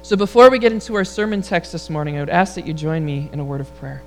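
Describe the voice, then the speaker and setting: A man with a high, rather feminine-sounding voice, preaching a relaxed, humorous sermon and leading prayer before a congregation. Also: quick pace, faint music behind. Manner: relaxed, storytelling delivery with humor. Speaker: pastor. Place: church sanctuary before a congregation.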